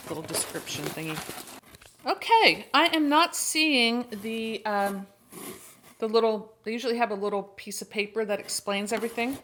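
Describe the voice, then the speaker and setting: Chatty, expressive woman hosting a vlog. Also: medium pitch, noisy background. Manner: expressive, exclamatory, thinking aloud. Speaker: host of a horror book channel. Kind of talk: casual chatty unboxing vlog.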